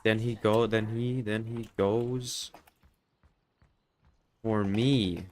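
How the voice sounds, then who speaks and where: Congested man, low voice, streaming games. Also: fairly even intonation, very slow. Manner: profane, rambling, full of "like" and "you know". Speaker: livestreamer. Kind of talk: casual live gameplay commentary.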